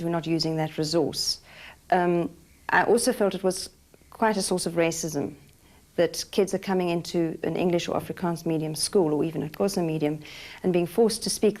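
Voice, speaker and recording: A woman educationist talks in a documentary interview with a somewhat low voice. She is earnest and reflective as she works through her points. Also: a little mellow.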